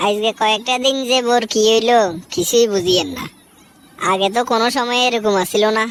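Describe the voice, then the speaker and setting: A man voicing a long-suffering cartoon husband, an office worker with a Noakhali Bangladeshi accent, weary and exasperated, his voice pitched up. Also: a little fast, a bit bright. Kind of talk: comedy cartoon dialogue.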